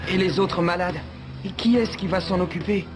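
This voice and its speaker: A man's voice: high-pitched, moderate pace, natural voice. Man as timid, hesitant cartoon youth. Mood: panicked, hesitant. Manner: hesitant, anxious, simple phrasing. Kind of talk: French animated series dubbing. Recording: loud music under the voice.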